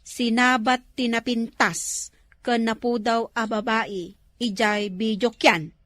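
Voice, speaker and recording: A woman's voice: fairly high pitch, steady tone, takes it slowly, fairly bright tone, dry recording. A woman playing a mischievous, gossiping village neighbour in a comedy drama, with an Ilocano accent. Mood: gossipy.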